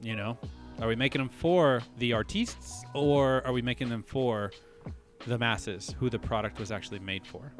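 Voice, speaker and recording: A man co-hosting a casual podcast chat, thinking out loud and hedging his theories. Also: mid-range voice, moderate pace, faint music behind. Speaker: podcast co-host.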